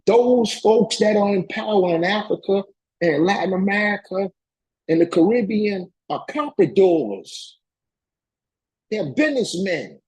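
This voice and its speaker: Raspy, high-pitched man lecturing emphatically. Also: expressive, unhurried, darkish tone. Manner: emphatic, repeats phrases for emphasis, colloquial. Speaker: political education lecturer. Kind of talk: impassioned political lecture, reading and commenting on a text.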